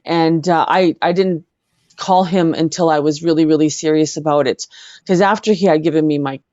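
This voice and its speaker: A middle-aged woman with a Canadian accent, telling personal stories as an interview guest in an earnest, halting way. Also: low voice, fairly even intonation, dark tone, no room sound, dull audio. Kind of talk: personal storytelling in conversation.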